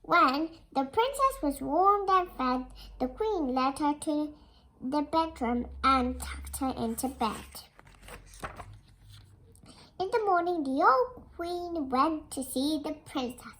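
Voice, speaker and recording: A child reading a fairy tale aloud in a careful, expressive storytelling manner, as a storybook narrator. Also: high-pitched, takes it slowly, a little hiss or hum. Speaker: storybook reader.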